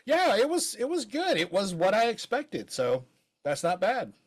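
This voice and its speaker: A man's voice: high-pitched, huge pitch swings, normal speed, husky. A middle-aged man from Maryland co-hosting a podcast, chatting casually with jokey, rambling asides. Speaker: podcast co-host. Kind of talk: casual chat.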